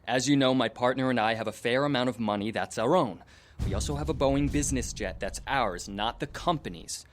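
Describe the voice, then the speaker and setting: A man's voice: mid-range voice, brisk, noisy background, natural voice. Man acting a shrewd, businesslike captive executive. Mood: urgent, persuasive. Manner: persuasive, businesslike. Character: shrewd, pragmatic captive male tech executive. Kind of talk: audio drama acting.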